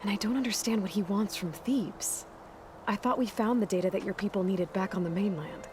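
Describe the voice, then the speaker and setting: An actress voicing a blunt, self-reliant young huntress in a video game, with a dry, understated delivery. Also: female voice, mid-range voice, fairly quick, background noise, natural voice. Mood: wary. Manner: dry, understated, matter-of-fact. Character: guarded, blunt, self-reliant young female machine hunter. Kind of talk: naturalistic video game acting.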